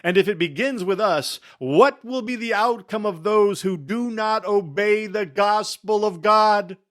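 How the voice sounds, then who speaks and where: Male scripture reader, higher-set voice, unhurried and solemn, theatrical emphasis, weighty pauses. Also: fairly bright tone. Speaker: Bible reader. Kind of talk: dramatic scripture reading.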